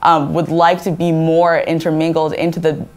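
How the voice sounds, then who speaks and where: Low-voiced young woman debating. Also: flat delivery, moderate pace, darkish tone. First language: Chinese. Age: young adult. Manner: earnest, many restarts and "I think". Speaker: student government candidate. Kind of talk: debate answer.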